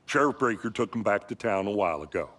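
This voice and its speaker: A man's voice: lowish voice, lively intonation, quick pace, fairly bright tone. A man playing an awkward, eager-to-please small-town sheriff's deputy in a video game, hesitant, rambling and apologetic. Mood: folksy, awkward. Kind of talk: scripted game dialogue.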